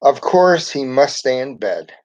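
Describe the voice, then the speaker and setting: A man's voice: medium pitch, very animated, unhurried. A man voicing a zany, eager woman schoolteacher with a bold, commanding manner in a storybook. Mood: concerned, brisk. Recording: dull lo-fi recording.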